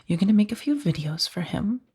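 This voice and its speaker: A woman playing a powerful, seductive mafia boss in a soft-spoken ASMR roleplay, speaking in a breathy, measured, commanding and teasingly menacing way. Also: medium pitch, expressive. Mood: cool, controlling. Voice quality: soft, breathy, often slipping toward whisper. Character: powerful female mafia boss, coolly controlling, seductive kidnapper.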